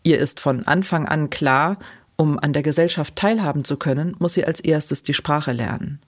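A woman hosting a short documentary format, reading scripted narration in an even, measured way. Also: sits low. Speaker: host, narrator.

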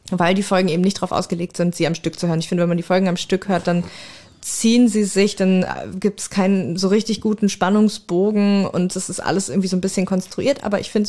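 A woman's voice: medium pitch, warm tone. A woman speaking casually as a guest on a podcast. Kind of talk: casual chat.

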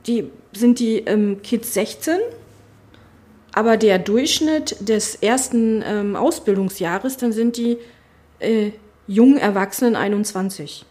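Middle-aged woman, politician, interview talk, halting, thinking aloud, average pitch. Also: warm tone. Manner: thoughtful, halting, many fillers and restarts. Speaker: politician, spokesperson for family and education. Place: her constituency office.

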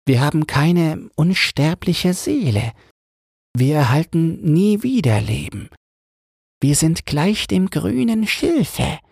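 A man voicing a wise, resigned old mermaid grandmother in a thin, breathy falsetto, slow and instructive, for a fairy-tale audiobook. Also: darkish tone, dry recording, clean studio sound, exaggerated voice. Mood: solemn, matter-of-fact. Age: older.

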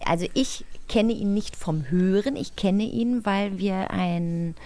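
A woman's voice: mid-range voice, slow pace, darkish tone. A woman hosting a podcast, putting questions to a guest in a casual, conversational way. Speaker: podcast host. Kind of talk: casual interview chat.

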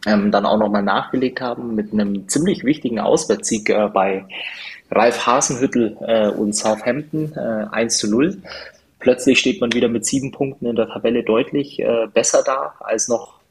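A man co-hosting a casual football podcast, talking haltingly with many fillers. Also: lowish voice, husky, low-quality recording. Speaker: podcast co-host.

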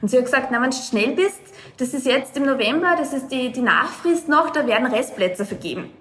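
A young woman from Lower Austria with an Austrian accent, telling her story as a podcast guest over a video call, with many hesitations. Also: lively intonation, brisk, a bit bright. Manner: hesitant, many filler sounds. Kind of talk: conversational storytelling.

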